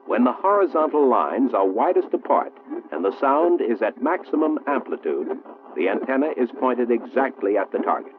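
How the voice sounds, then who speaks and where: A man's voice: mid-range voice, sing-song, background noise. American man narrating, tinny old film soundtrack. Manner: formal, measured, precise enunciation. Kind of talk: 1960s military instructional film narration. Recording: muffled, roomy 1960s film soundtrack, phone-like.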